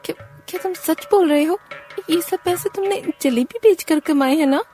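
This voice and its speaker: A woman voicing an excitable, skeptical village wife and mother in an animated moral story, with a shrill, questioning manner. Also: moderate pace, soft background music. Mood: disbelieving.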